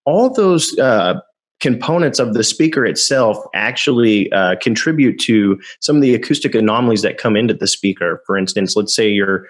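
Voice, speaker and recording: Low-voiced man pitching speakers. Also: animated, moderate pace. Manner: enthusiastic sales pitch, stumbles on words. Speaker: product specialist. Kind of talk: product demo explanation.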